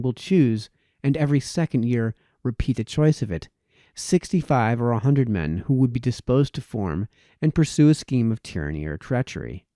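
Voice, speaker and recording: A man reading formal historical prose aloud in a measured way, letting his phrases fall away at the ends of sentences. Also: medium pitch, dark tone, no echo, clean studio sound. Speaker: reader of historical political essays.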